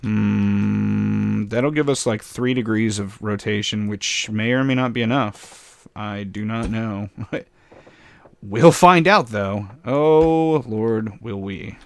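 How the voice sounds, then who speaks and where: A man casually commenting while building in a game, with a slightly raspy voice, rambling and poking fun at himself. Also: on the low side, slow pace, dry recording. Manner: rambling, self-mocking, chuckles often. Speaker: gameplay commentator.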